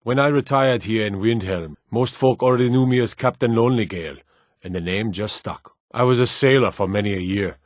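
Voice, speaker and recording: An actor playing a weathered, plain-spoken Nordic guard and townsman in a fantasy video game, gruff and dutiful, with a measured, weary manner. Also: lowish voice, no echo, studio quality, close to an everyday voice. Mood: reflective, courteous.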